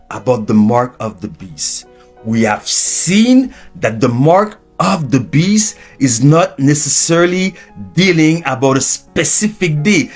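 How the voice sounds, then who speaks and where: A man from Haiti preaching an unscripted sermon, with Haitian Creole as his first language, restarting phrases and swinging in pitch and volume. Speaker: preacher and teacher.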